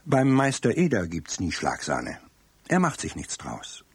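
An actor narrating a children's radio play as an expressive storyteller, with a deep, husky voice. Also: male voice, a little slow, no echo, exaggerated voice. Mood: confiding, amused.

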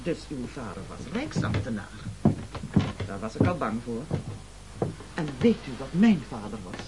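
An actor playing a domineering castaway leader in an absurd radio play, formal, pompous and accusatory, on a clicky old recording. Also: male voice, mid-range voice, sing-song, unhurried, heavy background noise. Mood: pompous lecturing.

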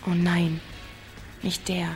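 A woman's voice: fairly low pitch, level tone, slow, soft background music. A woman playing a wary, blunt but shyly affectionate teenage village girl, speaking tersely and dryly in a radio drama. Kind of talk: naturalistic radio drama dialogue.

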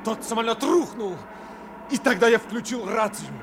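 Stricken bomber crewman, extremely high-pitched, intense, strained, wartime radio play, roaring engine background. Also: normal speed. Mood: anguished, defiant. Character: desperate, intense male crewman of a falling bomber. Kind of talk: impassioned Soviet radio drama acting. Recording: noisy aircraft sound effects over voice. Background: loud engine noise.

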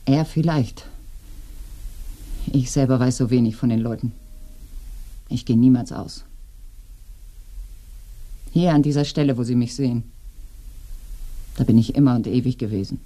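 A guilt-ridden woman shut away in a dead village. Her voice is very muted and dark, with a husky breathiness. She speaks in a brooding, confessional way, as in a radio play. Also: rumbling low, a little slow, long pauses. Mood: resigned. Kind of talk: German radio play acting.

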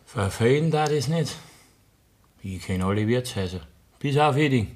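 An actor with a Bavarian accent playing a dutiful farmhand in a radio play, speaking in a placating way. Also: male voice, medium pitch, lively intonation, normal speed, darkish tone, lightly acted. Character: earnest young farmhand, dutiful. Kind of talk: 1960s radio play acting.

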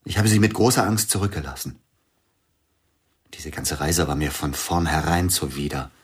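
A man playing a grieving widowed concert pianist in a radio play, speaking in a subdued, husky voice. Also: extremely low pitch, moderate pace. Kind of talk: radio drama acting.

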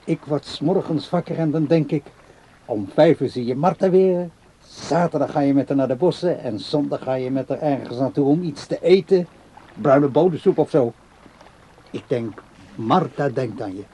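Actor as a mellow-voiced, stubborn ageing man. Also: fairly high pitch, moderate pace, close to an everyday voice. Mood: tender, reassuring. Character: ageing man, tender yet stubborn, easily fed up. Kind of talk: Dutch radio play acting. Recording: radio play recording with background noise.

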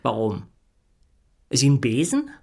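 A nosy male friend in an audio drama. He has a medium-pitched voice and probes with joking, teasing questions. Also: huge pitch swings, a little slow, creaky, a little mellow, full character voice. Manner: probing, joking.

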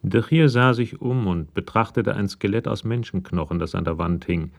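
A man narrating a radio crime drama from a script in a measured, matter-of-fact way. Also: fairly low pitch, gravelly. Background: music with drums.

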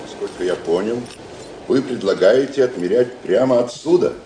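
A deep, dark, gravelly male voice in a roomy recording. An actor plays a gruff, contemptuous captain, formal in manner, for a radio drama. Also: animated, normal speed, a little hiss or hum, close to an everyday voice. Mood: formal, cool. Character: gruff, contemptuous army captain acting as duel second.

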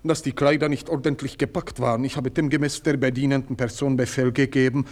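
A man's voice: medium pitch, flat delivery, fairly quick, a little mellow, close to an everyday voice. An actor playing a meddling, servile male house servant who speaks in long-winded, fawning phrases. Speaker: servant Theodor. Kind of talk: radio play acting.

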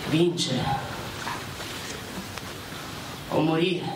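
Actor as high-voiced fervent young soldier, echoey. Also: male voice, fairly even intonation, extremely slow. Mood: solemn, exalted. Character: young Italian soldier, fervent, brave, devoted son. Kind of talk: theatrical reading of a war letter. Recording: echoey, distant, clearly noisy.

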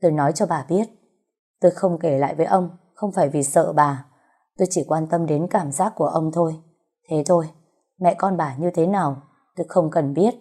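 A woman's voice: sits low, fairly quick, mellow, slightly rough. A woman voicing a defiant, long-suffering young orphan who speaks bluntly and stands her ground in an audio story.

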